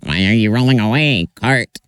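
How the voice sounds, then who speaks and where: A man's voice: lowish voice, expressive, moderate pace, a bit bright, clear, resonant tone, dry recording, clean studio sound, voice pushed up. A man voicing a talking pile of manure in a folk tale, chanting matter-of-factly.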